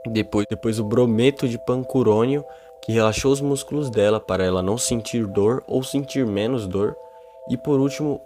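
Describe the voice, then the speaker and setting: A man with a Brazilian accent narrating and explaining a horror video in an informal way. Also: fairly low pitch. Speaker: YouTube narrator. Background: music.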